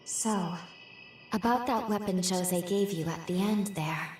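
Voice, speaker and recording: A woman playing a gentle, earnest companion who explains things in a measured way in a video game cutscene. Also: on the low side, natural voice. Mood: solemn, explanatory. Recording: distant, echoing, faint noise.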